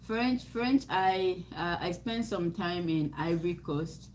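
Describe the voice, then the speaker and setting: A woman's voice: fairly low pitch, takes it slowly, darkish tone, slight background noise. A young woman from Ghana with a Ghanaian accent, chatting casually on a home livestream and laughing often. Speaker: livestream co-host. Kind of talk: casual chat.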